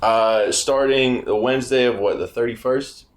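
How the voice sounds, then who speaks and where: A man's voice: mid-range voice, steady tone, moderate pace, slight background noise. A man guesting on a casual podcast in a house, joking, swearing and laughing often. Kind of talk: casual chat. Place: grandparents' house, indoors. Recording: echoey room.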